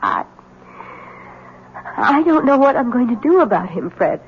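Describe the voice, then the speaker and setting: Anxious pleading young wife, high voice, tinny radio hiss. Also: female voice, big pitch swings, a little slow. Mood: troubled. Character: frightened beautiful young wife with a secret. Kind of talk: 1970s radio drama acting. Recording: tinny old radio broadcast with faint hiss.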